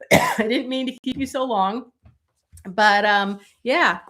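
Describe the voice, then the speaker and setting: A middle-aged woman illustrator chatting casually on a live stream, with many fillers and a voice going hoarse. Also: on the high side, takes it slowly, a bit bright. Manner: many fillers, talks while showing pages. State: losing her voice, coughing.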